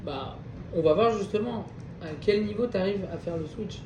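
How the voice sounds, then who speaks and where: A man with a high voice leads a study class in a roomy space. He stands some way off the mic, with a faint hum behind him. He reads a passage, unpacks it, and keeps asking his listeners whether they follow. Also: moderate pace, lo-fi sound. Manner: reads a passage, then explains it with questions to the group. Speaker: Torah class teacher. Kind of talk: reading Hebrew text and explaining in French. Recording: echoey room, distant mic, faint background noise.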